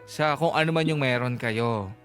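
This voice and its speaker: A man's voice: mid-range voice, normal speed, a bit bright, clean tone. A man with a Filipino accent co-hosting a radio advice show, asking and summing up conversationally. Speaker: radio co-host. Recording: background music under the voice. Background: music.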